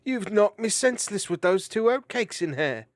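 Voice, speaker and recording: A man's voice: high-pitched, sing-song, moderate pace, voice pushed up. A man voicing a teasing, easygoing young male mouse warrior. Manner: teasing and jovial.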